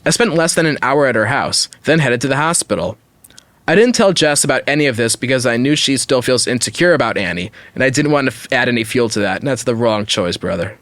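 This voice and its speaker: A man's voice: medium pitch, lively intonation, fast, a bit bright, no echo. Dry-sounding man hosting a podcast. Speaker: podcast host. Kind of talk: reading an online story aloud with casual asides.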